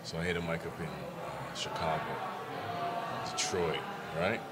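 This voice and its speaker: A man's voice: fairly even intonation, a little slow, heavy background noise. A middle-aged man from Barbados, a comedian guest telling stories in casual interview chat. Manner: storytelling, acts out quoted voices in a high pitch.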